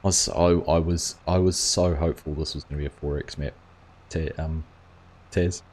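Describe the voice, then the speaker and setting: A man's voice: extremely low pitch, fairly even intonation, moderate pace, a little mellow. A man hosting a gaming livestream, chatting casually and reading viewers' comments aloud. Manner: rambling, reads chat aloud and answers viewers.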